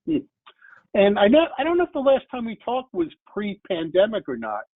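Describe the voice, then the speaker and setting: A man with a high, lively, gravelly voice hosts an interview over a video call and asks chatty questions in a friendly way. His sound is tinny and phone-like, with a very dry room. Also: moderate pace, no room sound. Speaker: interview host.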